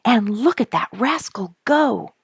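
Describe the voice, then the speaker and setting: A woman's voice: fairly high pitch, expressive, slow pace, creaky, slightly rough, dry recording, voice pushed up, full character voice. A woman reading a storybook aloud, voicing an eager, thoughtful boy with an earnest, exclaiming delivery. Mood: excited.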